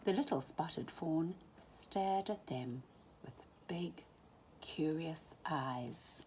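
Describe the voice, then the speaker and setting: A woman reading a children's story aloud in an animated, dramatic way, often dropping into hushed tones. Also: mid-range voice, a little hiss or hum. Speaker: storybook reader.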